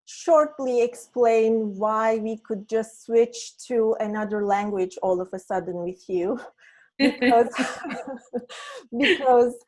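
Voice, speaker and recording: A woman's voice: a little high, slow pace, darkish tone. A woman from Turkey whose first language is Turkish, hosting an online meetup in a friendly, hesitant way over a video call. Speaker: meetup host. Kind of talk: casual online video chat.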